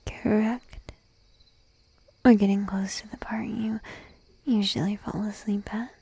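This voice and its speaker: Slow, breathy shy sheep-girl girlfriend, acted by a woman. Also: mid-range voice, level tone, no room sound, exaggerated voice. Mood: gently attentive. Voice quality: soft, breathy whisper. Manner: timid, coaxing, lingering pauses. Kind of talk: whispered ASMR roleplay. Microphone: close microphone, dry.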